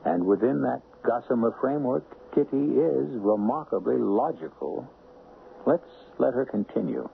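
A man's voice: mid-range voice, expressive, normal speed, creaky. A man hosting a radio drama, narrating in a musing, literary, theatrical way. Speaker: host of a radio mystery series. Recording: dull, noisy vintage radio recording.

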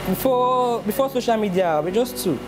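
A man's voice: sits high, normal speed, noisy background. A young Nigerian man, a shoemaker, chatting in an interview in a shoe showroom and playfully acting out his own lines as he tells his story. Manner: playful, quotes himself in dialogue. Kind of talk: casual interview chat.

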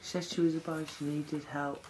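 A woman on a night ghost hunt in a dark old mill, calling out questions in a hushed, quizzical way and repeating what she hears. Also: low voice, level tone, normal speed, noisy background.

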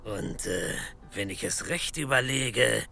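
A man's voice: mid-range voice, animated, slow, vocal fry, bright, forceful tone, full character voice. An actor playing a stern, skeptical male police captain in a German comedy audio drama, exasperated and authoritative. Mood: grudging.

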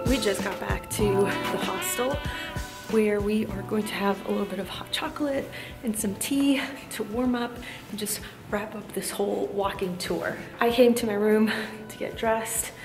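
A woman's voice: mid-range voice, moderate pace, echoey room, over loud music. A young woman vlogging to a handheld camera in a hostel, chatty and upbeat. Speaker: travel vlogger. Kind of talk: casual travel vlog. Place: indoor hallway and room of a hostel. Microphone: handheld camera at arm's length.

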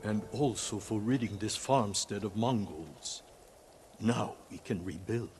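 An actor playing a humble village holy man in a game, speaking gently and with respectful deference. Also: lowish voice, a little slow. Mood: grateful. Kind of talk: dramatic game acting. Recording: clear background noise, outdoor ambience.

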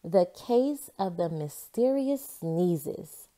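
A confident young girl scientist from a picture book, read by a woman, curious and sure of herself. The delivery is lively and measured, with an inquisitive lilt. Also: lowish voice. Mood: thoughtful. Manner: assured, inquisitive, explaining.

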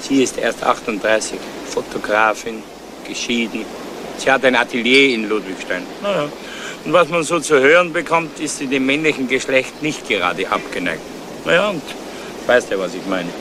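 An actor playing a fretful, suspicious insurance agent in a 1970s German crime radio play, talking in an insinuating, emphatic way. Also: male voice, mid-range voice, lively intonation, moderate pace, noisy background, natural voice. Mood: gossipy, suspicious.

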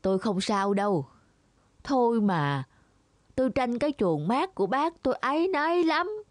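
A woman's voice: fairly high pitch, very animated, normal speed, dry recording. Woman voicing a scheming, sweet-talking cartoon cow. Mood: wheedling, scheming. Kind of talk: children's cartoon fable dubbing.

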